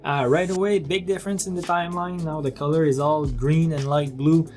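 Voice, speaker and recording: A man giving an informal, step-by-step explanatory narration as a software demonstrator. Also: a little high, fairly even intonation, brisk, faint music behind.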